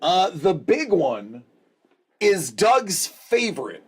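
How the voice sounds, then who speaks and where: A man's voice: sits high, sing-song, slow, husky. A man hosting a casual livestream, joking along and reading out viewers' chat messages. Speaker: livestream host.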